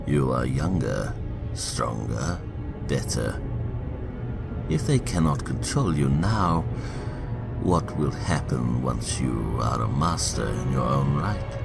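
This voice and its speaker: A man playing an elderly, manipulative statesman in a fan audio drama, grave and deliberate, with a gravelly, insinuating delivery. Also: a bass voice, full character voice. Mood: insinuating, intense.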